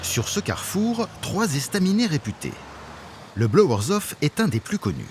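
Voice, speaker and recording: A man giving the scripted narration of a travel documentary, measured and even. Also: on the high side, noisy background.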